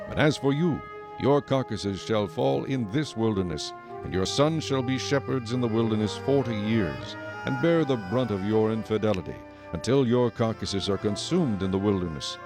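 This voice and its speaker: A man playing a stern, majestic God in a dramatized scripture recording, solemn, authoritative and deliberate. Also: fairly low pitch. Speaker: narrator and voice of the Lord. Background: music.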